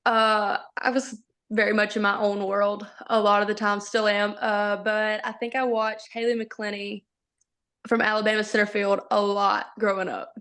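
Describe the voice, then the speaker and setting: A young woman from Arkansas, a college softball pitcher, chatting casually as a podcast guest with frequent giggles and lots of "like". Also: little pitch movement, normal speed, clean tone. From Arkansas, USA. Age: young adult. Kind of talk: casual interview chat.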